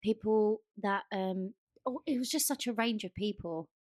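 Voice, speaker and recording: A woman co-hosts a casual podcast with a mid-pitched voice. She speaks in an emphatic, gushing way and repeats herself for stress. The sound is dry, with no room around her. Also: normal speed, a little mellow, no room sound. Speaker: podcast co-host.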